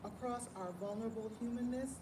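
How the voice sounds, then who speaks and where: A woman's voice: on the high side, steady tone, fairly quick. Solemn woman reading prayer, echoey and distant. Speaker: prayer leader. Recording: distant, echoey, noisy event recording.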